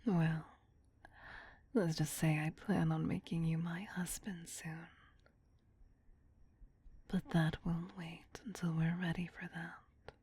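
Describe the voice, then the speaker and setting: A woman playing a tender, possessive vampire queen in an ASMR roleplay, in a breathy whisper with a soothing, intimate, doting manner. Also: on the low side, little pitch movement, slow pace, long pauses, warm tone, exaggerated voice. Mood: loving, gently coaxing.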